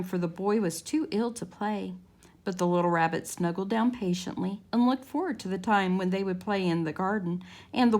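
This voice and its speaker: Female story time reader: mid-pitched, some vocal fry, measured and expressive children's narration.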